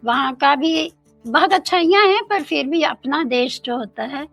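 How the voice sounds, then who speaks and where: An older woman from India, a guest in an interview, speaking in a slow, thoughtful way and mixing in English phrases. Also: high voice, gravelly, fairly bright tone, dry recording, soft background music.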